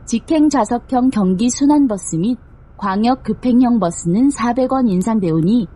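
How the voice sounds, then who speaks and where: A woman's voice: a little high, moderate pace, mellow, husky. A woman reading scripted passenger notices in a polite, formal manner. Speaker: bus passenger-notice announcer. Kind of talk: scripted public announcement.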